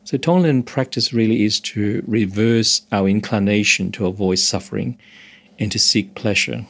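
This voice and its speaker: Low-voiced meditation teacher from Hong Kong. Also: male voice, normal speed, creaky, a bit bright. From Hong Kong, moved to Australia as a teenager. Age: 50s or older. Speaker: meditation instructor, interview guest. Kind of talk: explanatory interview talk.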